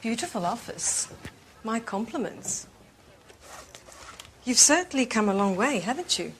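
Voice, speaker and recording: A woman's voice: on the high side, very animated, measured pace, low-quality recording. Actress as assured businesswoman, faint background noise. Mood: polite, assured. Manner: formal, assured. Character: confident, persuasive businesswoman, smoothly pushy. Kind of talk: acted dialogue, scripted business drama.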